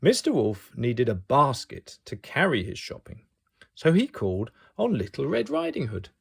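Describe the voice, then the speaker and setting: A man reading a picture book aloud to young children as an expressive storyteller. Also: mid-range voice, moderate pace, creaky, slightly rough.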